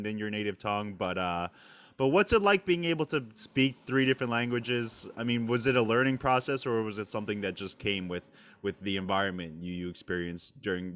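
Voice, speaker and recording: Male host, mid pitch, rambling questions, dry close-miked sound. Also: fairly bright tone, no echo. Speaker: interviewer. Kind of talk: casual podcast interview.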